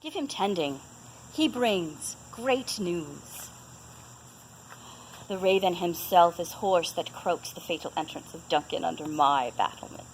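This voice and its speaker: A woman's voice: fairly low pitch, expressive, unhurried, slight background noise, rough recording. A woman playing a ruthless, ambitious noblewoman in an intense, incantatory Shakespearean soliloquy. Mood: cold, scheming. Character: ruthless, ambitious noblewoman invoking dark spirits. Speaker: amateur actor.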